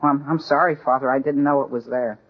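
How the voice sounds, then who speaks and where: An actor playing an earnest, hesitant high school boy who stammers, in 1940s radio comedy style. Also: fairly even intonation, a little fast, natural voice. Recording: muffled vintage radio broadcast.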